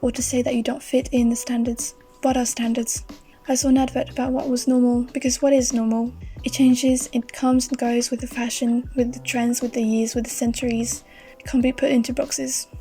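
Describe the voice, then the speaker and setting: A young woman with a slightly high, mellow voice talks in a fairly even, level tone. She muses aloud and wanders between thoughts, filling pauses with "like" and "um", as a casual voice-over for her art video. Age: young adult. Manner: reflective, rambling, with fillers. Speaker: art vlogger.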